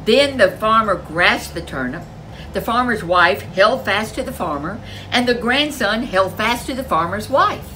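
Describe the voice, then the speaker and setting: A woman's voice: mid-range voice, lively intonation, unhurried, pushed and bright, a little hiss or hum. A woman storyteller reading a folk tale aloud in a dramatic, emphatic way. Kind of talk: animated folk tale narration for children.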